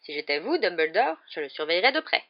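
Une femme qui interprète dans un livre audio un ministre contrarié, soucieux, prompt à protester. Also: female voice, on the low side, big pitch swings, quick pace, highs cut off. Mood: worried. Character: flustered, irritated male government minister. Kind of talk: acted audiobook dialogue.

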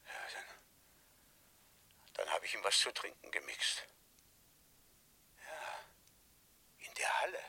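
An actor playing an irritable, defensive middle-aged colonel in a German radio crime play, husky and halting as he blusters. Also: sits low, very animated, pushed and bright, in a whisper, heavily acted. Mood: flustered, faltering. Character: flustered retired-soldier colonel, irritable and defensive.

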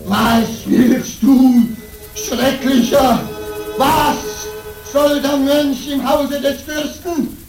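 An actor playing a guilt-haunted samurai turned monk in a theatrical radio play, pleading and impassioned. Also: male voice, very high-pitched, flat delivery, echoey room, far from the mic, full character voice, low-quality recording. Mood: terrified. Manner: pleading, impassioned, drawn-out cries. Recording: dramatic music behind the voice.

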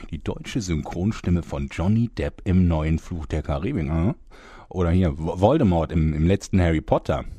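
A man's voice: low voice, lively intonation, moderate pace, warm tone, slightly rough, dry recording. An actor playing a vain, fed-up male narrator in a comedy audio play, pompous and theatrical. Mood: indignant, grumbling. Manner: theatrical, pompous, self-important.

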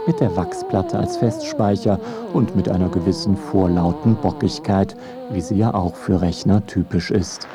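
A man's voice: sits low, very animated, normal speed, over music. A man narrating a scripted radio feature in a wry documentary style.

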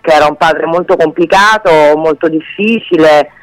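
A woman's voice: low-pitched, moderate pace, no echo, poor audio. A woman speaking candidly and conversationally as an author being interviewed on the radio. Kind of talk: informal spoken radio interview.

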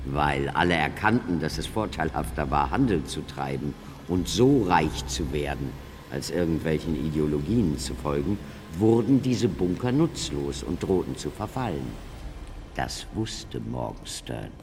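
An actor playing a grave, learned scholar in a radio play, explaining things in a measured, lecturing manner. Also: male voice, very deep voice, animated, a little mellow, slight background noise, full character voice. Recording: long echoing reverb, faint music.

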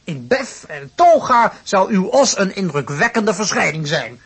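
A man playing a smooth-talking young student conman who poses as a professor, glib and hesitating, in a radio play. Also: high-pitched, very animated, measured pace, exaggerated voice. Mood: glib, deferential. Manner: glib, hesitating, mock-deferential. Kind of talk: Dutch radio play acting. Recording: clearly noisy radio play recording.

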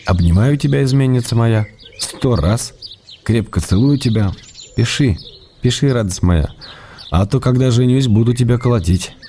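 An actor playing an ailing middle-aged writer in a radio drama, reading his love letters in an intimate, measured, wry way. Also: medium pitch, dark tone, slight background noise, lightly acted. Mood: playful, affectionate.

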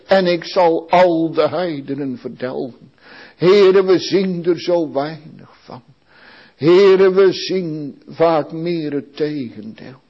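A man leading a long public prayer in a slow, solemn and pleading way. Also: fairly high pitch, darkish tone, muffled recording. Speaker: praying minister.

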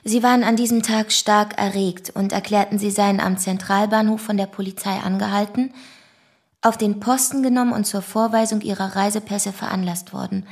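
A woman's voice: medium pitch, steady tone, moderate pace, lightly acted. An actress playing a sober young woman who gives formal testimony in a radio drama.

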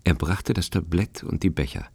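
A man acting as the detached narrator of a radio drama, reading literary prose in a measured, matter-of-fact way. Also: sits low, vocal fry, darkish tone, husky, no echo, heavily acted. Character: measured, detached male storyteller. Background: plucked guitar music.

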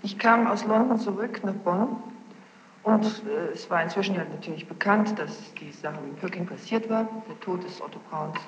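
A woman's voice: mid-range voice, normal speed. A woman testifying as a witness in court, defensive and precise about her wording. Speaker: witness under questioning. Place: large reverberant courtroom. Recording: muffled, noisy, echoey archival courtroom tape.

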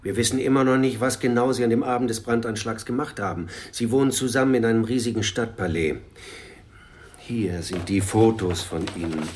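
An actor playing a brusque, composed police commissioner in a radio crime drama, giving orders. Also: male voice, fairly low pitch, fairly even intonation, a little fast, slight background noise, natural voice. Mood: matter-of-fact, commanding.

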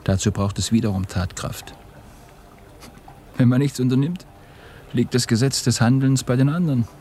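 A man acting in a German radio drama as an ashamed, despairing Russian revolutionary, halting and confessional, often hushed. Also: medium pitch, natural voice. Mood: bitter resignation. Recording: studio radio play with music behind.

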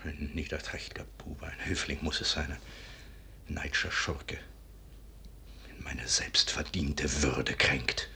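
An actor playing a proud, wounded, bitter army colonel in a 1950s German radio drama, declaiming intensely with a husky, raspy voice. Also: male voice, rumbling low, fairly even intonation, takes it slowly, pauses often, bright, forceful tone, full character voice. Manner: declamatory, intense, sinking to harsh whispers.

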